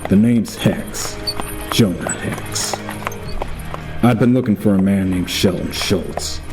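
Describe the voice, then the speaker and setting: Male actor as grizzled cowboy bounty hunter, low voice, laconic, wry, audio drama narration, music underneath. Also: slow. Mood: gruff, wry. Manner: laconic, wry, tough-talking.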